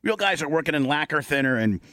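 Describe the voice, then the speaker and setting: A man's voice: a little high, lively intonation, fast, pushed and bright, no echo, studio quality. A man hosting a casual group talk show, bantering in a blustering, defiant way and repeating himself.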